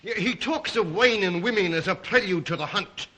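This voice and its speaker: An actor playing a suave, predatory count with courtly, condescending manners, in old melodrama style. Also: male voice, sits high, animated, normal speed, bright, forceful tone, exaggerated voice. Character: suave, predatory foreign aristocrat who hunts humans, man. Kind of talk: old film-era melodrama acting.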